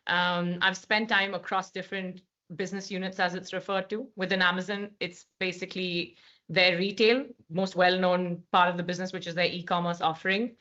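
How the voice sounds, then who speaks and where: Low bright-voiced Indian woman. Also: flat delivery, fairly quick. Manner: articulate, many fillers and restarts. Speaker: guest, managing director. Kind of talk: podcast interview, conversational. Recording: slightly dull lo-fi sound.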